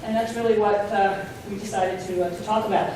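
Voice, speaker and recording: A woman drama teacher giving an informal, halting introduction to a student performance. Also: medium pitch, steady tone, darkish tone. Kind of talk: informal spoken introduction to an audience. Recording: echoey hall, distant mic, faint noise.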